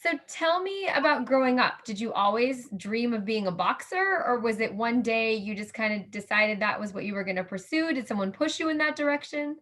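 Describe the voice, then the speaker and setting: Female interviewer, voice a bit on the high side. Courteous, appreciative, conversational questioning. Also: animated, fairly quick, few pauses, fairly bright tone. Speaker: interview host.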